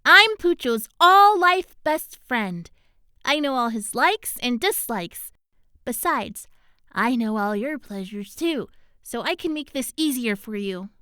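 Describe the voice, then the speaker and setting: A woman's voice: fairly high pitch, animated, takes it slowly, pushed and bright, clear, resonant tone, no room sound, clean studio sound, exaggerated voice. A woman voicing a seductive, scheming female succubus in a fan audio drama, talking in a coaxing way. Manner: coaxing, sultry.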